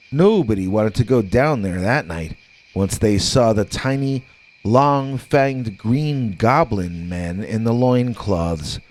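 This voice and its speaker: A man narrating a scripted first-person scary story in a measured, conversational storytelling manner. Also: on the low side, a little mellow.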